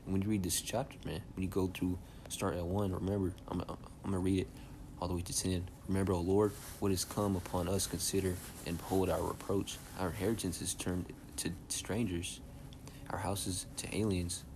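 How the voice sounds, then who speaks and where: A young man with a very low, soft-spoken voice teaches scripture in a loose, conversational way. His delivery stays flat and level, and he keeps checking in with his listeners. A clear hiss of background noise runs under the recording. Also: fairly quick. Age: young adult. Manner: casual, checks in constantly with "all right?" and "okay?". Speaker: Bible teacher.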